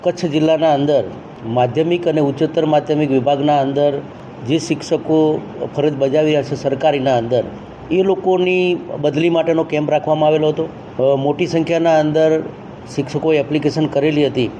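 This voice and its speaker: A man's voice: a little high, steady tone, fairly quick, dark tone. A man explains things plainly and repetitively as a district education officer in an interview statement. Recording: dull, noisy outdoor-like field recording.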